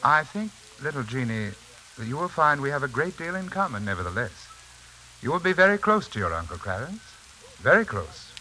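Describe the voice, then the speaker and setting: Pompous, sinister radio hypnotist uncle, swooping delivery. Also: male voice, mid-range voice, very animated, brisk, bright, forceful tone. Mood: smugly menacing. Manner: pompous, theatrical, silkily menacing. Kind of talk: 1940s radio suspense acting. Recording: hissy, crackling 1940s radio recording.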